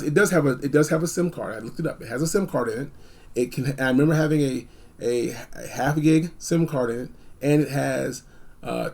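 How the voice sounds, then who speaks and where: A man hosting a casual, unscripted gadget review, talking in an animated, emphatic way and repeating phrases for effect. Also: fairly high pitch, vocal fry. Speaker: product reviewer hosting a video.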